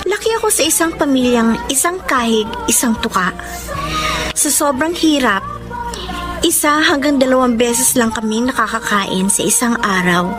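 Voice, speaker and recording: An actress as a young Filipina heroine of a radio drama. Her voice is high and swings widely with feeling as she plays a poor, dutiful daughter. Music plays clearly behind her. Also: moderate pace, lightly acted. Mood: sorrowful, reflective. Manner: emotive, expressive. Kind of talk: radio drama acting and first-person letter narration.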